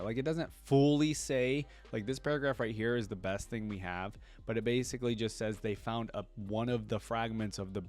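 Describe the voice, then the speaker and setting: A man's voice: medium pitch, darkish tone. A man reading game lore aloud as a reaction creator, breaking off for casual conversational asides.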